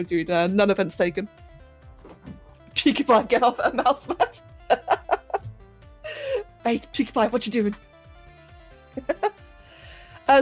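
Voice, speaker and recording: Young woman streamer, casual chat to viewers, raised pitch, faint music behind. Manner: chatty, greets viewers, laughs often, asides to her pets. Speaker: livestream host. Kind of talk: casual chat with live chat while sculpting.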